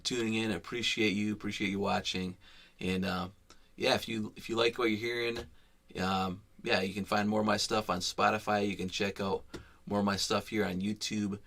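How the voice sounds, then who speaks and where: A man singing a soulful original ballad on a live stream, then chatting softly and rambling to his viewers. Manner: soulful high sung ballad; soft, rambling, mumbled talk with many fillers. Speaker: singer-songwriter streaming live. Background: piano accompaniment.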